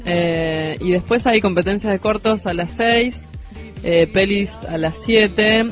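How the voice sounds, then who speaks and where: A woman's voice: mid-range voice, takes it slowly. Argentine woman chatting on radio over music. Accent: Rioplatense Argentine. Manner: slangy, informal. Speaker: radio show co-host. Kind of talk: casual radio chat.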